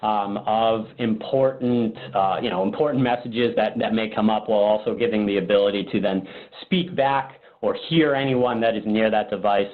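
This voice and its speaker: A man's voice: lowish voice, steady tone, normal speed, few pauses. A man presenting a product webinar casually, informal and thinking aloud in a hesitant way. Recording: phone-like narrow-band webinar audio.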